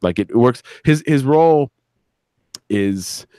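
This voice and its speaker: A man's voice: mid-range voice, lively intonation, slow pace, warm tone, dry recording, clean studio sound. A man talking casually and profanely on a live stream as an audio drama creator, telling rambling anecdotes and acting out what people said. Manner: profane, rambling, acts out quotes. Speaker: audio drama creator and director, interview guest.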